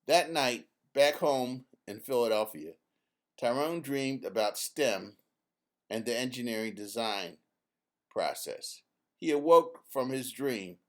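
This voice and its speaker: An author reading a children's book aloud as a narrator, in a deliberate, measured way. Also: medium pitch. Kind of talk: scripted storybook narration read aloud.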